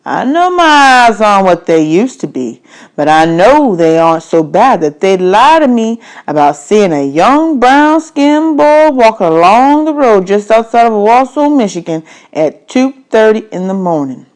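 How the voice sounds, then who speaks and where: A woman's voice: mid-range voice, big pitch swings, unhurried, voice pushed up. A woman voicing a commanding but kindly man who calls out in a folksy, emphatic way in a children's storybook. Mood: loud, insistent. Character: adult male stranger, commanding but kindly, calling out at night. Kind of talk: children's audiobook read-aloud.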